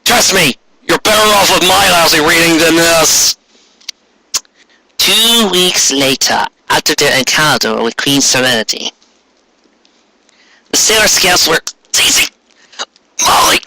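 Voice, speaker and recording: A man's voice: a little high, expressive, unhurried, pauses often, noisy background. A man reading fan fiction aloud in a theatrical, comedic style with an exasperated, hammy manner.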